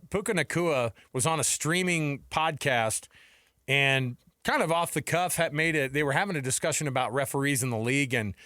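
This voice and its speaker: A man's voice: mid-range voice, fairly bright tone, no echo. Casual male sports radio co-host, dry studio.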